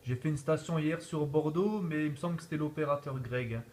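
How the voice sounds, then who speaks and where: A man's voice: on the high side, brisk, a little mellow. A man from northeast France chatting casually as an amateur radio operator, peppering his phrases with little verbal tags. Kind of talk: casual radio contact chat. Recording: faint background noise.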